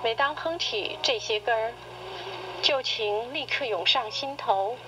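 A middle-aged woman reading a personal essay in radio drama style, with measured, literary, expressive delivery. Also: a little high. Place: played back from a cassette recorder speaker. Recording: noisy cassette tape played through a recorder.

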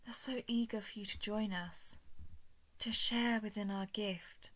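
A woman voicing a coldly menacing, falsely gentle alien parasite in an intimate horror audio roleplay, condescending, often slipping toward a breathy whisper. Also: mid-range voice, fairly even intonation, natural voice. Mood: eerie coaxing. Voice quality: creaky, breathy with frequent whispering. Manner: measured, condescending, menacing coaxing.

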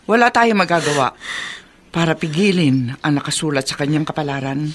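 A Filipino woman playing a solemn, measured mystical guide in a horror radio drama. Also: low-pitched, slight background noise, close to an everyday voice. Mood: solemn, urging.

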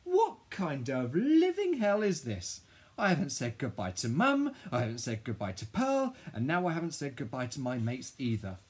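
A man voicing a grieving, dramatic ten-year-old boy who tells his own story in an expressive, husky storybook voice. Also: mid-range voice, fairly quick, hardly any pauses. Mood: indignant, distraught. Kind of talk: first-person children's audiobook narration.

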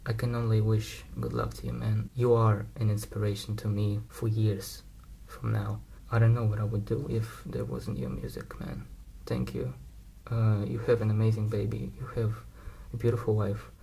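A man's voice: on the low side, level tone, normal speed, creaky, warm tone, husky, rough recording. A nineteen-year-old man from Kazakhstan, a native Russian speaker with a Russian accent, recording a hesitant, heartfelt thank-you message with frequent fillers into a webcam in a small room. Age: teens. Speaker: fan sending a video message. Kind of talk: heartfelt direct-to-camera message.

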